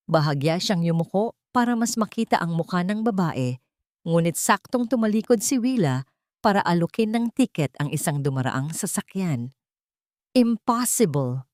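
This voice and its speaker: Female narrator for a romance drama, lower-pitched, scripted dramatic storytelling, dry close recording. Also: lively intonation, moderate pace, darkish tone, no room sound.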